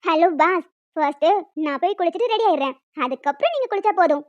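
A woman's voice: very high-pitched, animated, quick pace, dry recording, dull audio. A woman voicing a young wife in a cartoon drama, playfully scolding and bantering. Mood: playful protest. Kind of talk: cartoon relationship drama dialogue.

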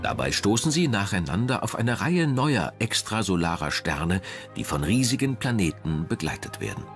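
A man reading a scripted documentary narration in a measured, dramatic manner. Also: fairly low pitch, sing-song, music in the background.

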